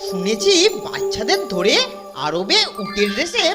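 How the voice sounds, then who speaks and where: A woman voicing an eager young boy in a cartoon who flatters his older leader. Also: fairly high pitch, measured pace, over loud music.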